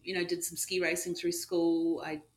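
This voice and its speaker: A middle-aged woman with a New Zealand accent, a ski resort manager chatting in an interview, often pausing with um and you know. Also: on the low side, flat delivery. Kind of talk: casual interview answers.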